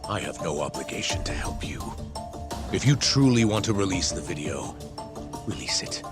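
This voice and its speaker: A low-voiced, stern man who will not budge for a game cutscene. He sounds resigned and formal, with music clearly underneath. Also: big pitch swings, moderate pace. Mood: cold refusal. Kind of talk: English video game cutscene dub.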